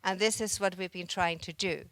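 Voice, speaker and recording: Low, smooth-voiced Finnish woman. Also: steady tone, normal speed, a bit bright, dry recording. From Finland. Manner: reflective, searching for words with frequent restarts. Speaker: visual artist giving a conference talk. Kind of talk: conference presentation. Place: conference hall stage.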